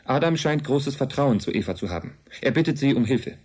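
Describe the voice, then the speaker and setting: An earnest male envoy from an advanced race in a radio drama. His voice sits at a medium pitch and he explains things in a calm, measured, matter-of-fact manner. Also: husky. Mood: earnest, explanatory. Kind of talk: German radio drama acting.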